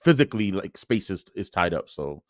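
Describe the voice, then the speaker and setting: A man's voice: low-pitched, sing-song, fairly quick, no echo. Slangy New York man on a dry podcast. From New York City. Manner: slangy, jokey, peppered with "bro" and "yo". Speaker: podcast co-host. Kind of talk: casual sports podcast chat.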